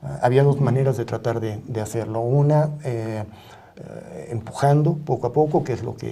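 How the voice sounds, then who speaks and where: A middle-aged man with a Mexican accent, speaking as an expert guest in a studio discussion in a deliberate, halting, measured way. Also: mid-range voice, dark tone. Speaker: media ombudsman and journalist, panel guest. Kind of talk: reflective studio interview discussion.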